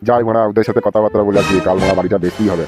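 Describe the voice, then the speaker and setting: Man playing a grumbling, low-voiced old village father-in-law. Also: fairly even intonation, racing, close to an everyday voice. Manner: grumbling, coarse, demanding. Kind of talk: comedy cartoon dubbing. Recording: music playing behind the voice.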